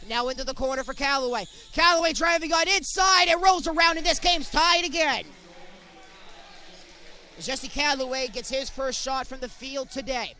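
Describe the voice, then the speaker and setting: High-pitched young male basketball play-by-play announcer. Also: moderate pace, bright, forceful tone. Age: young adult. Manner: narrates action in short clipped present-tense phrases. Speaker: play-by-play sportscaster. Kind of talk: live college basketball play-by-play commentary.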